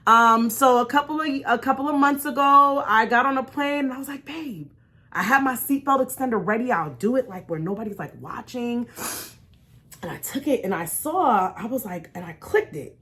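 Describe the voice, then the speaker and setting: A woman's voice: fairly high pitch, lively intonation, normal speed, sharp tone. An American woman vlogging in a candid, casual storytime style, talking in an emphatic, encouraging, conversational way. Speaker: vlog host.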